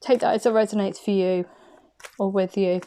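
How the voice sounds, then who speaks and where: A woman's voice: mid-range voice, fairly even intonation, moderate pace, mellow. A woman giving an intuitive tarot reading, chatty and effusive, thinking aloud as she turns the cards. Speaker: tarot card reader.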